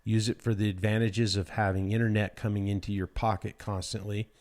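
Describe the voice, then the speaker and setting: Podcast guest: American man, lower-pitched, deadpan humor, blunt slangy delivery in casual conversation. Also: brisk. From United States. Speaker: podcast guest, dirt bike rider. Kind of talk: casual chat.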